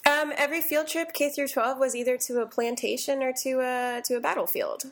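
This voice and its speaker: A woman's voice: sits high, a little fast, a bit bright. Reflective woman raised in virginia. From Virginia, USA. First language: Greek. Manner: reflective, frequent restarts and ums, laughs easily. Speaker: guest. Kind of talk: conversational interview.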